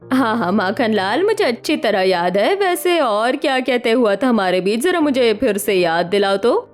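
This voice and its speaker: A woman's voice: on the high side, sing-song, moderate pace. A woman voicing a sly young woman who mimics an older mother-in-law in a cartoon drama. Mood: placating.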